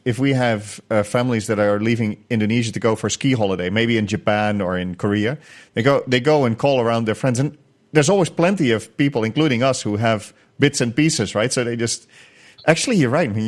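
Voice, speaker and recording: A man hosting an interview, asking long, chatty, rambling questions. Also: medium pitch. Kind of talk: casual interview.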